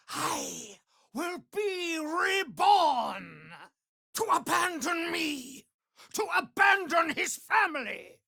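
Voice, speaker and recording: An imperious, megalomaniac vampire lord from a fantasy video game. He speaks very slowly, savoring each threat, and his pitch swings widely between hushed menace and pompous, theatrical proclamation. The recording is close and dry. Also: vocal fry, no echo, exaggerated voice. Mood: grandiose fury.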